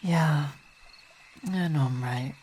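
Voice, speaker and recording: A dark, mellow-voiced vampire wife played by a woman in an intimate ASMR roleplay, weary and imperious but tender. She speaks in formal, hesitant phrases at an unhurried pace, with night insects chirping behind her. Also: low-pitched, a little hiss or hum. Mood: wistful, affectionate. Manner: measured, hesitant, formal wording.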